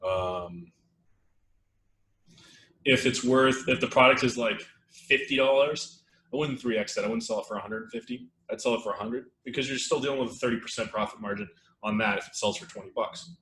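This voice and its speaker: A man hosting a live webinar in casual, rambling talk with lots of fillers, his voice a little strained and throaty as he clears his throat. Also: mid-range voice, brisk. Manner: relaxed, rambling, many fillers and restarts. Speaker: webinar host. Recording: echoey room with faint noise.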